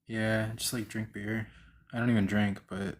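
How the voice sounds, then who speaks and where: A man's voice: on the low side, level tone, normal speed. A man hosting a live stream, debating and chatting casually in a slangy, argumentative way.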